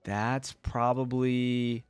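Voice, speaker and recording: Male video creator, mid-pitched, informal reaction commentary, thinks aloud and exclaims, dry recording. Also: flat delivery, drawn-out words, clear, resonant tone, no room sound, soft background music.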